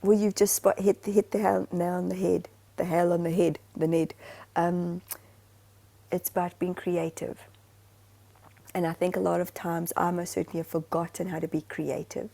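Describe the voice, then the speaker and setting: A woman from Zimbabwe chatting casually on a podcast. Her voice is gravelly and mellow. She rambles in an animated way and restarts her sentences often. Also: lowish voice, moderate pace. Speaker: podcast co-host. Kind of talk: casual chat.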